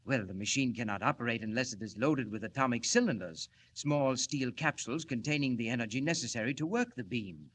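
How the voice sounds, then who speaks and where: An actor playing an earnest, secretive scientist who explains formally and deliberately in 1940s radio style. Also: male voice, medium pitch, fairly bright tone, breathy edge. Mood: assured, explanatory. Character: earnest, proud, secretive male scientist inventor.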